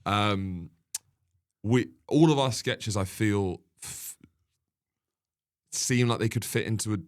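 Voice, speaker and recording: A man with a lowish voice talks in a loose, conversational way. He comes across as a comedian guest, bantering drily and laughing easily. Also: slow pace. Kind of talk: casual conversational chat.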